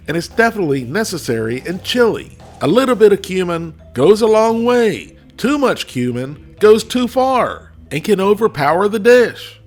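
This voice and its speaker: A congested man with a slightly cracky voice hosts a food review and talks straight to his viewers. His voice sits fairly high for a man and swings up and down a lot. He keeps a folksy, chatty manner throughout. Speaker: food reviewer, channel host. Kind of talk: casual video food review.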